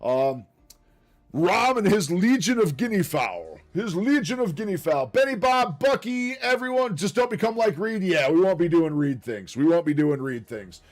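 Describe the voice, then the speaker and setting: A man hosting a casual livestream, reading notes aloud with chatty asides, his voice slightly raspy from a long stream. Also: high-pitched, very animated, normal speed. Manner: chatty and upbeat, quiet asides while reading. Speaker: livestream host.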